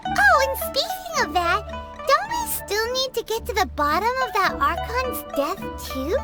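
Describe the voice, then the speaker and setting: A squeaky, excitable little fairy. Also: female voice, measured pace, exaggerated voice. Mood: puzzled, chatty. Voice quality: squeaky, childlike. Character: tiny excitable cheerful female fairy companion. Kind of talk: video game voice acting. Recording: loud music under the voice. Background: game music.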